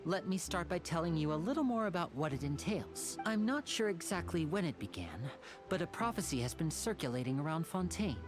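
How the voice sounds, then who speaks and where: A woman's voice: on the low side, sing-song, fairly quick, over music. A woman playing a suave, courteous young male stage magician in an anime video game, polished and theatrical. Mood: grave, explaining.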